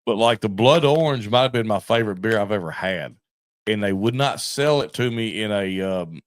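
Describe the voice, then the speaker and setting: A man's voice: on the low side, animated, moderate pace, no room sound, clean studio sound. A man co-hosting a podcast, chatting casually in an informal, folksy way. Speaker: podcast co-host. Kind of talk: casual chat.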